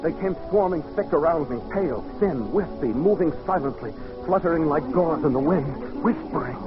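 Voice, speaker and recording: Man acting a nervous poet, slightly high voice. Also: lively intonation, moderate pace, over music, natural voice. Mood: haunted, fearful. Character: nervous, sensitive male poet recounting an eerie encounter. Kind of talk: old-time radio drama, first-person narration. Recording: dull old radio recording.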